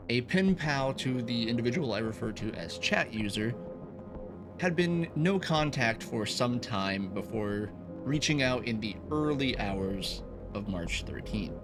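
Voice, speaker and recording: A man hosting a video essay, reading a scripted, analytical explanation. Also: mid-range voice. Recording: voice over background music. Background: background music.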